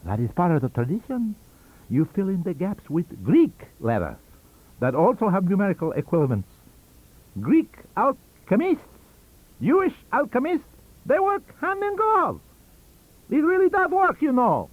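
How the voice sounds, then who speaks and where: A man voicing a cunning, wheezy old foreign scientist-magician in an audiobook, with a theatrical, halting and emphatic delivery. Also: high-pitched, wildly swooping pitch, pitched above the performer's own voice, exaggerated voice. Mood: mystical, instructing. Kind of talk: audiobook character reading. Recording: dull lo-fi audio cassette.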